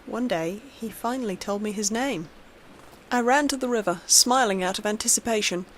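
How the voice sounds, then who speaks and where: A woman's voice: mid-range voice, moderate pace, slight background noise, rough recording. A woman reading a scripted horror story aloud as its narrator.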